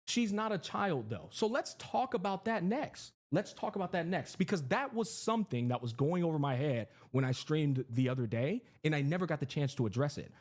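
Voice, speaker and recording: A man in his thirties talks to his stream chat with a high-set voice. His pitch swings widely from line to line. He is emphatic and profane, hammering each point home. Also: animated, a little fast, few pauses, darkish tone. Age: 30s. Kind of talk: livestream commentary to chat.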